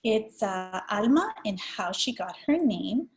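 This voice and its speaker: A woman's voice: mid-range voice, expressive, takes it slowly. A woman in her forties from El Salvador, giving an early-education training presentation in an explanatory, personal manner.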